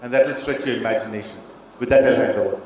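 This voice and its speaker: A man's voice: lowish voice, fairly even intonation, quick pace. Man speaking in a very echoey hall. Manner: earnest, explanatory, frequent "uh" fillers. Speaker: panelist. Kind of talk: panel introduction. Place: large conference hall. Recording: very echoey hall with faint ambient noise.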